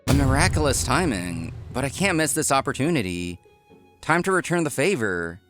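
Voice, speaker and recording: Man acting earnest restaurateur, animated storytelling over music. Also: mid-range voice, normal speed, close to an everyday voice. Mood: earnest, reflective. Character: earnest, work-obsessed young male restaurant owner, easily flustered. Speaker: narrator and lead character. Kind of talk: scripted manga story narration and dialogue. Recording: music behind the voice.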